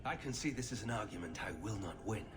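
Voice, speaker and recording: An actor playing a stern, guarded, dutiful warrior scout with a grave manner in a fantasy video game. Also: male voice, fairly low pitch, lively intonation, fast, music in the background, natural voice. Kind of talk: fantasy game cutscene acting. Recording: noisy ambience behind dialogue.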